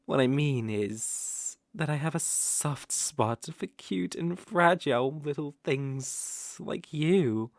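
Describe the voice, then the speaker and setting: A performer voicing a smooth-talking, predatory naga with a teasing, coaxing drawl and soft chuckles, in an ASMR roleplay. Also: mid-range voice, slow, no echo, clean studio sound. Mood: menacing, amused. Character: smooth-talking, sly, predatory naga fond of cute prey.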